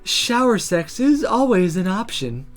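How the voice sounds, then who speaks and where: A man's voice: high voice, sing-song, measured pace, soft background music. A man voicing a lewd, teasing, blunt female magical companion in a visual novel. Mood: gleefully teasing. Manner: playful, blunt, suggestive.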